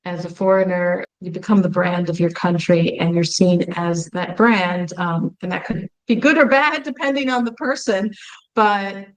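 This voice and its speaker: A woman's voice: on the low side, poor audio. A woman hosts an interview, giving her views in an earnest, conversational way. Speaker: host, company founder.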